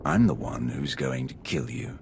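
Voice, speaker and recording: A ruthless dark warrior in a video game, with an extremely low, gravelly voice. He is blunt and contemptuous, his pitch moving freely, with clear noise behind him. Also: animated, unhurried, exaggerated voice. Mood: menacing. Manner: blunt, threatening, scornful. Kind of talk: scripted video game acting.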